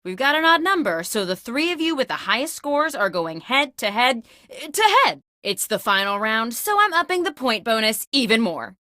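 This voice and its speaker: A peppy, eccentric lady boss hosting a video game. Her voice is high and bright, swinging freely in pitch as she delivers upbeat office announcements. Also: dry recording, studio quality, exaggerated voice. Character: peppy, eccentric female corporate boss hosting a job-interview contest. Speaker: game host. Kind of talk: scripted game narration.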